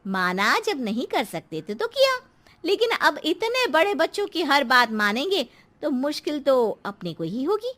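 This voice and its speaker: Chatty neighbour housewife played by a woman, very high voice, frank, cartoon. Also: big pitch swings, normal speed, a bit bright. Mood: exasperated advice. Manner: frank, advising. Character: outspoken, chatty middle-aged housewife neighbour.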